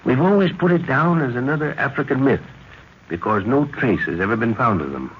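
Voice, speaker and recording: A man's voice: mid-range voice, expressive, fairly quick, slight background noise, lightly acted. An actor playing an older professor and explorer, fatherly and earnest, speaking formally in an old-time radio drama. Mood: fatherly, intent. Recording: dull vintage radio recording.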